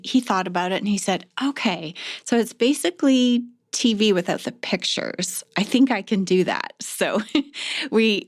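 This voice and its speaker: A woman speaking in an interview about her work, earnest and measured. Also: fairly low pitch, dry recording, clean studio sound. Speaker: podcast creator. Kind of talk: interview reminiscence.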